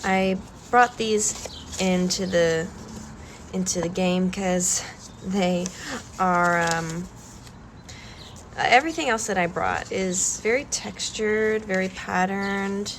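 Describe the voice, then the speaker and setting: Woman with a stuffy cold, slow and soft, kinda hesitant, lots of ums, noisy background hiss. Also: mid-range voice. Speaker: collage artist vlogger. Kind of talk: calm art-demonstration vlog.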